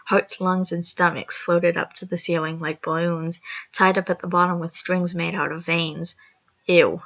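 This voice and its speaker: A young woman with a raspy voice, reading a horror fan story aloud, rambling and apologetic with chatty asides. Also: fairly low pitch, little pitch movement, measured pace, a bit bright. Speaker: story reader. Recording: lo-fi dull recording.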